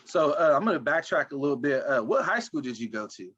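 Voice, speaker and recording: A man hosts the conversation, firing off questions quickly and loosely, padding them with fillers. His mic sounds dull and lo-fi. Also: a little high, sing-song, a bit bright, husky. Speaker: podcast host. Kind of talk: casual interview.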